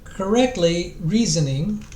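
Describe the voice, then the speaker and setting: A man giving a mock-dramatic reading of a book introduction, theatrical and solemn, pausing grandly and sometimes repeating words. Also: high-pitched, animated, a little mellow, reverberant.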